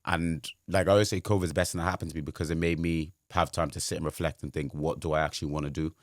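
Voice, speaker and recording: A man's voice: a bass voice, level tone, quick pace, no room sound, studio quality. A man, a guest telling his career story in casual chat, rambling with lots of fillers. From Wales. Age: 20s. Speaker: guest, sports journalist.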